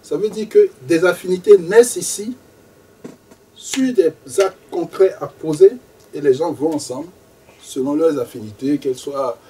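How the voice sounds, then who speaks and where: Male facilitator, mellow mid-high voice, measured delivery, picked up from across the room with faint noise. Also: distant mic, low-quality recording. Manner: measured, repeats phrases for emphasis. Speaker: networking session facilitator. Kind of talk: informal presentation to a group. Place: meeting room in a bookshop.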